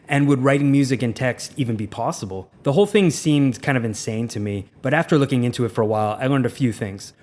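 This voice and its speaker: A man with a slightly raspy voice, narrating a video as the host, curious and candid as he explains. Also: medium pitch, darkish tone. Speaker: music software reviewer.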